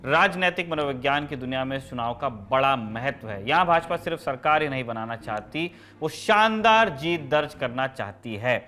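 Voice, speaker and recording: A man's voice: medium pitch, animated, normal speed, hardly any pauses, sharp tone. A man presenting scripted news as the host of a show.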